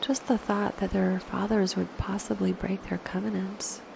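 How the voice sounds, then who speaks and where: Female meditation guide: gentle, slow delivery, frequent vocal fry, noticeable background ambience. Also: mid-range voice, flat delivery, noisy background.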